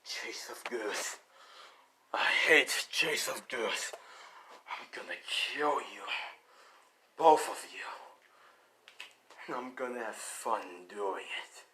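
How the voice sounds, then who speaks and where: A brutish rhino villain voiced by a man, slow and dim-witted. The breathy lines come out blunt and bloodthirsty, over noticeable noise, as in a homemade superhero tale. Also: mid-range voice, lively intonation, pushed and bright. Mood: threatening. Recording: clearly noisy home recording.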